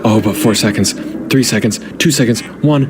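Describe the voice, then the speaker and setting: A man doing live gaming reaction commentary, excitable and sweary, often repeating his phrases. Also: on the low side, normal speed, background noise. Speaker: streamer. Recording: loud game music behind voice. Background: loud game music and sounds.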